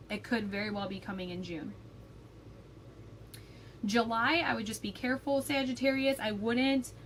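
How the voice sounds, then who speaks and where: A young woman giving a casual tarot forecast, a little raspy from a cold, chatty with frequent ums and likes. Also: medium pitch, a little hiss or hum. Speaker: tarot reader.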